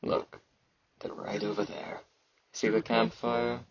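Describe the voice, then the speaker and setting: A man playing a weary, aloof immortal fantasy knight in an intimate ASMR roleplay, slow and brooding, with a gravelly, husky voice and sibilant S sounds. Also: lowish voice, flat delivery, no echo, lo-fi sound, heavily acted. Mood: casual, aloof. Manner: slow, brooding, casual about grim things.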